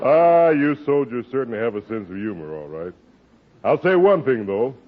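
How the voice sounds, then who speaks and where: An actor playing a polite middle-aged army major who questions a guest in a 1940s radio comedy. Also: male voice, mid-range voice, very animated, normal speed, background noise, lightly acted. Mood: genial. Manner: polite, genial, probing. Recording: tinny old radio broadcast.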